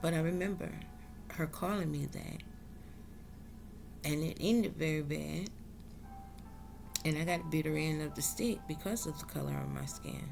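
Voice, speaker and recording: A woman's voice: low-pitched, moderate pace, gravelly, pauses often, over music. A woman from South Carolina, talking earnestly and unhurriedly in a reflective vlog monologue. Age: adult. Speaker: vlogger.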